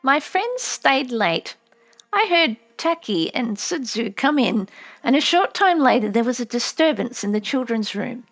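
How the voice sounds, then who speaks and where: A woman reading a memoir as an audiobook narrator, with measured storytelling delivery. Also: on the high side, sing-song, faint music behind.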